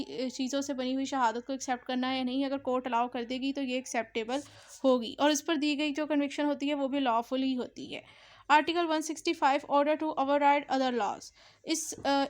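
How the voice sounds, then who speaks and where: A woman with a Pakistani accent speaks in a high voice that stays within a very narrow range. She lectures like a law teacher, reading and explaining provisions, with English terms mixed into her sentences. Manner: mixes Urdu with English legal terms, repeats explanations.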